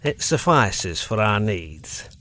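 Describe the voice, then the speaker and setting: Slow, grudging old manservant acted by a man. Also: on the low side, sing-song, pushed and bright, slight background noise, full character voice. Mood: gruff, grudging. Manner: terse, grudging, rustic turns of phrase. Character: gruff, grudging old manservant on a lonely moor. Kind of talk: period audio drama acting.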